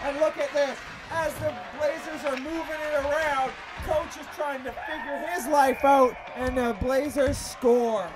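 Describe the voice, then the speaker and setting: A man with a naturally high-pitched voice co-hosting joking hockey commentary, excitable and often shouting the play. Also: unhurried, hardly any pauses, over music, rough recording. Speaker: co-host.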